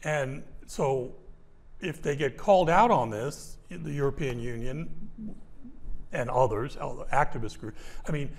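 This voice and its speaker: A man's voice: medium pitch, measured pace. A man in his sixties speaking as an expert guest in a studio interview, arguing his point with passion and emphasis. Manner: gestures broadly while talking. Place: small indoor studio.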